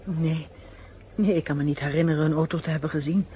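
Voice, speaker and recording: A woman's voice: low voice, steady tone, fast talker, close to an everyday voice. Radio play housewife woman acting: polite, guarded, easily flustered, hesitant delivery; dull, slightly noisy old recording. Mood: wary, reserved. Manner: hesitant, repeating her words. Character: wary, polite housewife, flustered wife of a detainee.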